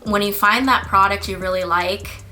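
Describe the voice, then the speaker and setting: A woman esthetician hosting a casual skincare vlog, her voice a little raspy from a lingering cough, chatting through her routine with fillers. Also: medium pitch, steady tone, normal speed, sharp tone, soft background music. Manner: chatty, explaining step by step with fillers. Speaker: licensed esthetician and beauty channel host. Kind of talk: casual product-review vlog.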